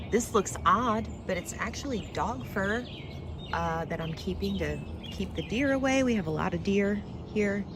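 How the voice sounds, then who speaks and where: A middle-aged woman with a fairly low voice talks casually as a vlog co-host. Her speech is informal and conversational, with clear ambient noise around her. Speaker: co-host and vlogger. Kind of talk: casual chat.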